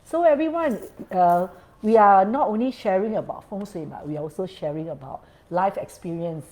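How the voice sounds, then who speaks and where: A woman's voice: medium pitch, animated, moderate pace, a little mellow, poor audio. Woman host, chatty, laughs a lot, switches to Mandarin mid-sentence. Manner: laughs often, mixes English with Mandarin. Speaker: feng shui consultant hosting an interview. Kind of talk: casual chat.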